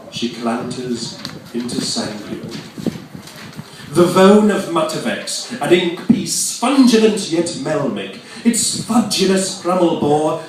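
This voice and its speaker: A man's voice: fairly high pitch, slight background noise. A man performing poetry live, declaiming nonsense verse in a theatrical, mock-grand manner with precise enunciation. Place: reverberant venue at an arts festival. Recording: echoey hall, distant microphone.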